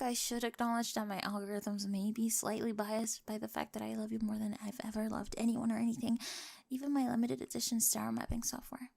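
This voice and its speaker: A woman performs a nerdy young bride in an intimate ASMR roleplay. Her voice sits fairly high and carries a noticeable creaky fry. She is besotted and earnest, reciting technical language with giddy precision. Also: darkish tone. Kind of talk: scripted ASMR roleplay addressed to the listener.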